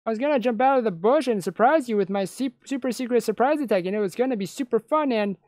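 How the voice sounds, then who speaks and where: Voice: medium pitch, quick pace, no room sound, pitched above the performer's own voice, lightly acted. A performer of unclear sex voicing a bubbly, whiny, playful anime schoolgirl in a visual novel. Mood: whiny, disappointed. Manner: whining, excitable, dramatic.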